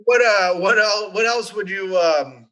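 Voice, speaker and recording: Man, co-host, high bright voice, joking, halting restarts. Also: low-quality recording. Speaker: podcast co-host. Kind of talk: casual comedic chat.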